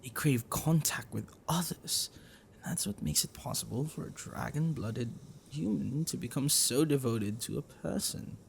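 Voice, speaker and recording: A man playing a gentle, bookish dragon-blooded librarian boyfriend in an ASMR roleplay, speaking in a hesitant, caring way. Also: mid-range voice, animated, unhurried, gravelly, a little hiss or hum. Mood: wry, reflective. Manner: hesitant, soft, caring, explanatory.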